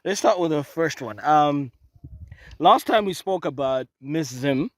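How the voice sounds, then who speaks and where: A man's voice: fairly high pitch, lively intonation, a little slow, no echo. A man from Zimbabwe co-hosting a casual comedic talk show outdoors, chatty and given to riffs. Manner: chatty, laughing, goes on riffs. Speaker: podcast co-host. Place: outdoors in open dry field.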